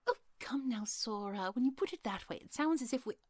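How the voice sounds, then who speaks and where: A genteel young lady played by a woman speaks in a highish, breathy voice with lively swoops. She is sweet, polite and a little flustered, as in a fantasy audio drama. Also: fairly quick, dry recording. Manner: bubbly, hesitant, genteel. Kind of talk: fantasy audio roleplay acting.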